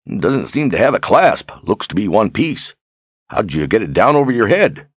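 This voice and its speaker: A man's voice: lowish voice, sing-song, normal speed, breathy edge, no room sound, voice pushed up. A man voicing curious, credulous rustic tavern regulars with folksy, plain-spoken talk, as in an audiobook. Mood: intrigued. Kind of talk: audiobook character acting.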